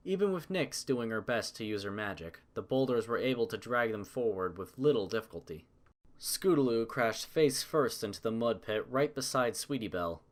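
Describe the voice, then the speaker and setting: A man reading scripted story narration as the narrator. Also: on the low side.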